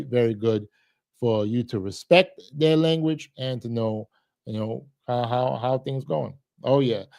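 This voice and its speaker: A middle-aged man chats casually with his livestream viewers, circling back on his words and thanking them again and again. His voice sits at an ordinary pitch. The sound is close and dry, with no room around it. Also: a little mellow, smooth, dry recording. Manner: repetitive, earnest, many restarts and thank-yous. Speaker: livestream host.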